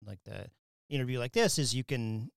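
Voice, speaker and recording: A man from Wyoming hosting an academic podcast, talking in a loose, rambling way with many restarts and quick laughs. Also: medium pitch, lively intonation, creaky, clean tone, no room sound, studio quality. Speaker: podcast host. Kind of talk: casual academic interview.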